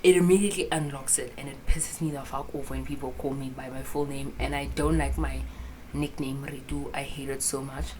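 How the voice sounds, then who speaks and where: Low-voiced young South African woman. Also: fairly even intonation, a little fast, background noise. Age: 20s. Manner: rambling, chatty, swears freely, switches into local words. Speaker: vlogger. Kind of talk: casual chat to camera. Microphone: phone camera with a clip-on mic.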